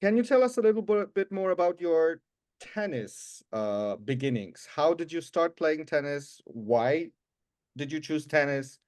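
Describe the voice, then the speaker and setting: A man's voice: a little high, sing-song, measured pace, a little mellow, no room sound, clean studio sound. A man co-hosting a podcast, introducing the guest and asking questions in an enthusiastic, scripted way. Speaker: podcast co-host.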